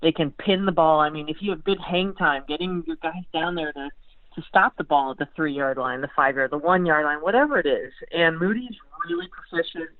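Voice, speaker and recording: A woman's voice: deep voice, brisk, no room sound. An American woman giving casual sports analysis as an interview guest, backtracking and repeating phrases as she thinks aloud. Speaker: sports beat writer, podcast guest. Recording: narrow phone-like line.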